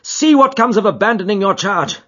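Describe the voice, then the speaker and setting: A man performs a commanding, intense male detective in an audiobook. His formal Victorian lines are urgent and emphatic, pitched up and leaping widely, with a husky grain. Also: very animated, moderate pace, dull audio. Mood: anguished anger. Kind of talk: dramatised audiobook character dialogue.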